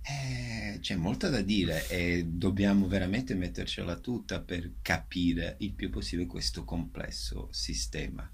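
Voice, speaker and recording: A man with a gravelly, husky voice explaining things in an interview, haltingly, repeating words as he searches for them. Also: low-pitched. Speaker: actor and director presenting a show.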